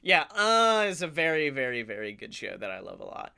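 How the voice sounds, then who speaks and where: A man chatting casually as a comedy podcast co-host, enthusiastic, talkative and quick to swear. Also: fairly high pitch, sing-song, vocal fry, pushed and bright, clean tone.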